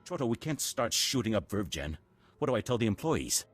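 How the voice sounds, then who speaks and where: Low-voiced, deferential scientist. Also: male voice, sing-song, a little fast, husky. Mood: uneasy. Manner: polite, formal, subservient. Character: deferential, uneasy male corporate scientist. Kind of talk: video game voice acting. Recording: music under the voice.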